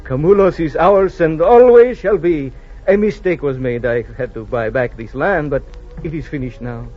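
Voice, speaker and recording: An actor playing an easygoing, timid Californio ranchero husband, hesitant and excitable, in 1940s radio style. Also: male voice, on the high side, moderate pace, soft background music, lightly acted. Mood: solemn, resolute. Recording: muffled vintage radio recording.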